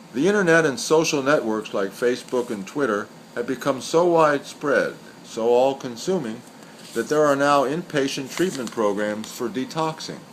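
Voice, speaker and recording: Guy reciting poems and commentary, deliberate and measured, mid-pitched voice, bit of hiss behind him. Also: rough recording. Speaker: poet reading aloud. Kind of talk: scripted literary reading, reciting short poems and commentary. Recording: steady background hiss or hum.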